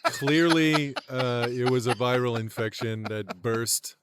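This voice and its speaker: A man co-hosting a comedy podcast who teases his co-host with dry, deadpan corrections. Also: medium pitch, slow, heavy background noise. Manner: wry, teasing, deadpan corrections. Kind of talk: casual comedic chat.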